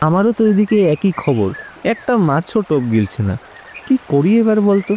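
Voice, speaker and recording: Man playing an expressive storyteller reliving boyhood. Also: high voice, normal speed, slight background noise. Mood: puzzled. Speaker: storyteller recounting his own boyhood. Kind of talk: dramatized first-person story narration.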